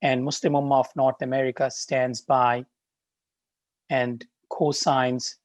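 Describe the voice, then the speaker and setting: A man speaks as an organization's representative at a press conference. His voice is mid-pitched and dryly recorded, and his delivery is solemn, formal and measured. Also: little pitch movement, dry recording. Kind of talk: formal solidarity statement.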